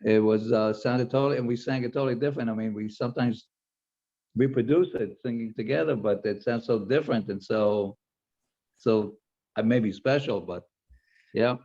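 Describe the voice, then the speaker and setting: A man casually sharing personal memories as a class participant, halting as he searches for words, in dull lo-fi sound. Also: medium pitch, mellow.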